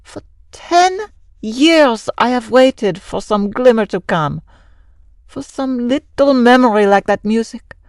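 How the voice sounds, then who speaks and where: An actress playing a madman's devoted, long-suffering wife in a radio drama, deliberate and imploring. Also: fairly high pitch, animated, a little mellow, no echo, studio quality. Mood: bitter pleading. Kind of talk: old-time radio drama acting.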